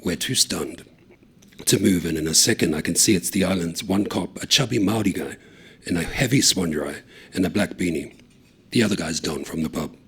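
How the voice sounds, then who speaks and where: A man reading a short story aloud in a steady, low-key narration. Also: a little fast, husky.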